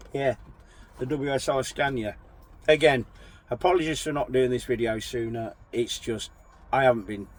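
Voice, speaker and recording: A man talks to his viewers from his truck cab, his voice still croaky from a recent chest infection. He rambles in a friendly, apologetic way, pitched at an everyday middle register. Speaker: truck driver vlogger. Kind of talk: casual chat to camera.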